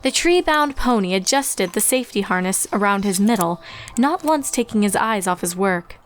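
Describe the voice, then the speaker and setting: A woman's voice: medium pitch, lively intonation, moderate pace. A woman reading scripted storybook narration as the narrator of a fan fiction tale. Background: music.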